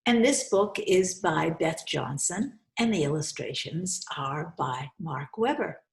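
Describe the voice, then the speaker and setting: A woman hosting a children's storytime, speaking deliberately and instructively as she reads and explains, often repeating phrases for young listeners. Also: low-pitched, animated, vocal fry. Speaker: host reading children's books aloud. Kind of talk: storytime read-aloud with commentary to children. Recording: roomy, echoey sound.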